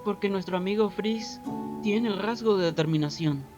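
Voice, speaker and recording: A man playing a gentle, apologetic boy who speaks haltingly in a Spanish fan dub. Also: high voice, close to an everyday voice. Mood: curious, uneasy. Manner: halting, soft-spoken pauses. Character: hesitant, apologetic, gentle boy from another world. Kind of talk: Spanish fandub voice acting. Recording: dull lo-fi audio, music behind.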